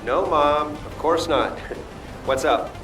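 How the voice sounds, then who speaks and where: A man's voice: on the high side, slow, over music, low-quality recording. A man voicing a laid-back, sardonic young chef in a video game, speaking casually and expressively. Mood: sheepish, pleased.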